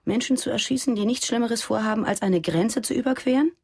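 An actress playing an earnest, idealistic former militant on the run in a German radio drama, in a husky voice. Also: female voice, fairly high pitch, brisk. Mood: challenging. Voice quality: husky, creaky, mellow.

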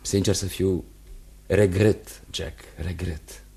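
An actor playing an earnest, carefree young engineer engaged to be married, in a radio theatre style. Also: male voice, low-pitched, fairly even intonation, creaky, mellow.

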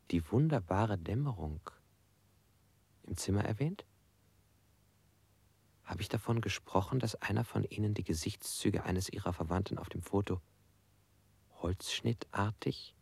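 A gravelly, beaten prisoner. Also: male voice, fairly low pitch, darkish tone, no echo, heavily acted. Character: bound, beaten male prisoner under interrogation. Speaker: prisoner in a radio play. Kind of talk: radio drama acting.

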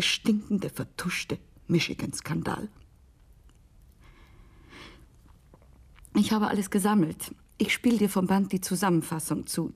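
A woman's voice: low-pitched, gravelly, husky, heavily acted. An actress playing an intense American businesswoman confiding a scandal in a radio drama monologue, sometimes dropping to a whisper. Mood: bitter, agitated.

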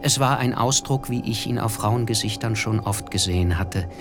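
An actor playing a reflective, observant narrator in a radio drama, telling his memories in measured, literary phrases. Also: lowish voice, music in the background, lightly acted. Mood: reflective, grave.

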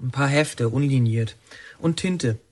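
Earnest, stubborn young male inmate for radio drama, played by a man; husky and deliberate. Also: mid-range voice, dry recording, lightly acted. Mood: terse, guarded. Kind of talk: German radio drama acting.

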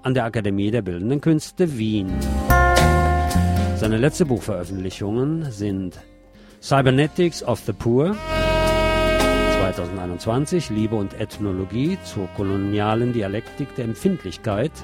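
A man's voice: fairly low pitch, lively intonation, moderate pace, loud music behind the voice. A man reading a written article aloud as a radio host, in a scripted narration style.

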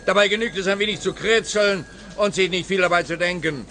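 A man's voice: high-pitched, fairly quick, pushed and bright. An actor playing a pompous, grumbling, self-righteous policeman in a comic radio play, speaking in an emphatic, lamenting way. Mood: smug. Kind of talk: radio play comedy dialogue.

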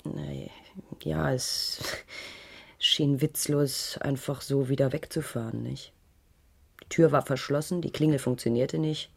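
An actress in a German radio crime play playing an uneasy married schoolteacher who gives a hesitant, detailed account to police. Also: female voice, very deep voice, fairly even intonation, unhurried, vocal fry, darkish tone, husky, heavily acted. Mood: uneasy, recounting. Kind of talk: 1980s German radio drama dialogue.

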